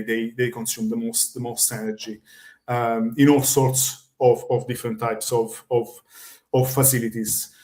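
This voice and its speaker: An Italian man with an Italian accent talking through technical rules on a webinar, steady and careful, filling gaps with soft ums. His voice sits at an ordinary pitch and rings a little in a hard, echoey room. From Italy. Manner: measured, many ums and pauses. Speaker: guest expert on building compliance. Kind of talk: webinar explanation.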